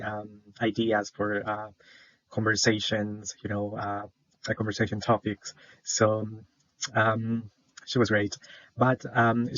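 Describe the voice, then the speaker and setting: A man's voice: on the low side, fairly bright tone, no room sound. Hesitant young man from El Salvador. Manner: hesitant, many fillers, shy. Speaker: student presenter. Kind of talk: class presentation. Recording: dull lo-fi dry sound.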